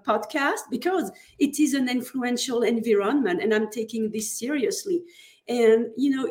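A woman's voice: fairly high pitch, a little mellow. Woman. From Belgium. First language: French. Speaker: podcast guest. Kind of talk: casual interview answers.

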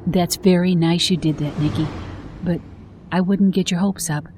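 A woman playing a gentle, sensible mother of a young boy in an audio drama. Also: on the low side, steady tone, moderate pace, a little hiss or hum, lightly acted. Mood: gentle, soothing.

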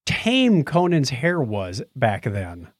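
A man's voice: mid-range voice, wildly swooping pitch, slow pace, dry recording, studio quality. A middle-aged or older man co-hosting a podcast, chatting casually and summing up articles with wry jokes. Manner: wry, joking. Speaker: podcast co-host. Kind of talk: casual chat, summarizing articles.

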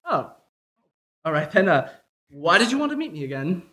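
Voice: mid-range voice, sing-song, moderate pace, pushed and bright. An actor playing a clingy discount-deal service as a boyfriend, with theatrical, pleading sales patter in a radio-style comedy sketch. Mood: eager, salesy. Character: Groupon, clingy salesman boyfriend turning gleefully villainous. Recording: live, unedited stage performance.

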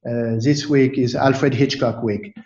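A man from France, speaking as an interview guest in a thoughtful, halting way with many fillers and restarts. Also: medium pitch, steady tone, darkish tone, reverberant, dull audio. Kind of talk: casual interview chat.

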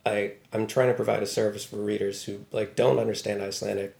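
A man's voice: on the low side, flat delivery, quick pace, vocal fry, darkish tone, roomy sound. A man from Baltimore, talking casually and thoughtfully as an interview guest, with a wry tone and many fillers. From Baltimore, USA. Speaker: news writer, guest.

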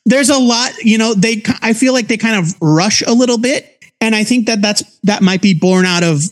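A man's voice: sits high, normal speed. An American man in his forties giving casual, conversational commentary as a podcast co-host. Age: 40s. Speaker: podcast co-host reviewing comics.

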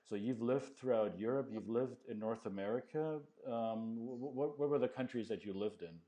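A man hosting an interview podcast, speaking in a measured, thoughtful way and reflecting back what his guest says. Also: medium pitch, mellow. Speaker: podcast host.

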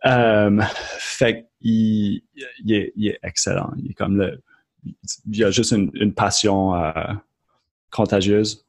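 Acadian-accented man, low, hesitant speech. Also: fairly even intonation, slow, vocal fry. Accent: Acadian French. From Moncton, New Brunswick, Canada. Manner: hesitant, many restarts and fillers, earnest. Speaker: podcast guest. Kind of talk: casual conversational interview.

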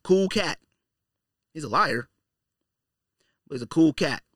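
A man's voice: on the high side, animated, takes it slowly, a bit bright, husky, no echo, clean studio sound. A man hosting a podcast in a casual, opinionated monologue, blunt and slangy, repeating phrases for emphasis. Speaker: podcast host.

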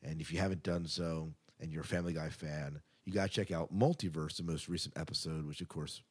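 A man's voice: extremely low pitch, steady tone, brisk, husky. A man hosting a podcast in a chatty, casual way, part scripted and part off the cuff, with upbeat announcing. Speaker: podcast host.

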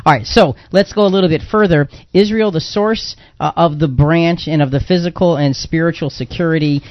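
A man's voice: on the high side, fairly quick, highs cut off. A man hosting a conversational Bible discussion, emphatic and repeating phrases for stress as he moves it along. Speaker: religious podcast host.

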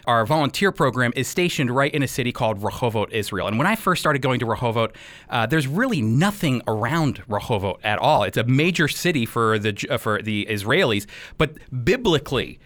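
A man's voice: mid-range voice, expressive, fairly quick, fairly bright tone, no room sound. An American man hosting a radio program, explaining and commenting in an emphatic, explanatory way. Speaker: radio host and teacher.

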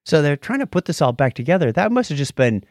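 A man co-hosting a podcast, chatting casually and recounting things in a rambling, animated way. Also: fairly high pitch, fast, no echo, studio quality. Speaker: podcast co-host. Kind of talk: casual podcast chat, recounting a nature documentary.

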